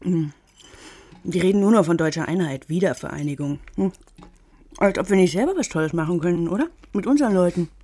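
A woman's voice: fairly low pitch, expressive, brisk, mellow. An actress playing a wry, idealistic woman in politics, chatting casually over dinner in a naturalistic radio drama. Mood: wry, frustrated. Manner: casual, wry, talking while eating.

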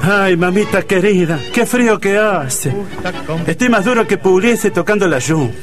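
A self-pitying older tango lover from a radio comedy, speaking in a high, plaintive voice with a Buenos Aires accent. Every line is overwrought and melodramatic, with music playing behind. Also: male voice, animated, moderate pace. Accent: Buenos Aires Argentine. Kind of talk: comic radio play acting.

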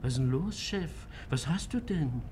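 An actor as a lively, loyal gangster henchman. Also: on the high side, normal speed, a little hiss or hum, natural voice. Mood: puzzled. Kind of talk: 1950s German radio play acting.

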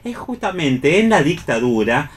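A man's voice: a little high, very animated, unhurried. A man with an Argentine accent explaining things expressively in a radio discussion, sometimes reading aloud.

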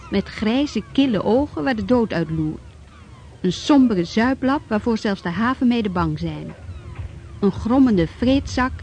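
A woman narrating a radio play in a slow, grim recitation. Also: medium pitch, darkish tone, husky. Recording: dull lo-fi recording.

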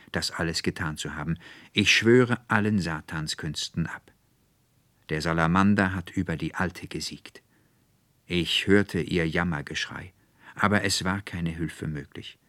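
A man's voice: sits low, fairly bright tone, dry recording. An earnest, effusive young woman acted out by a male reader in a literary audiobook. The speech is emphatic, resolute and formally old-fashioned. The recording is very dry and close. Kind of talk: literary audiobook character dialogue.

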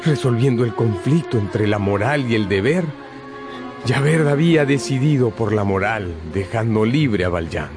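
A man's voice: medium pitch, animated, moderate pace. A man narrating a scripted radio drama as a dramatic storyteller. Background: prominent music.

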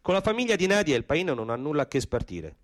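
A male actor narrates a story for radio theatre. His voice is somewhat high, and his delivery is theatrical, with wide swings of pitch. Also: sing-song, fast talker.

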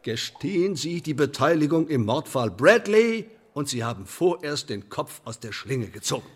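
An actor playing a menacing, sardonic Elizabethan English sheriff in a German radio drama, officious and mock-polite, with deliberate emphasis. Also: male voice, a little high, sing-song, husky. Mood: officious pressing.

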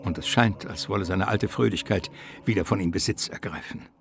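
A man narrating a comic adventure radio play as a wry, literary storyteller with measured delivery. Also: low-pitched, gravelly.

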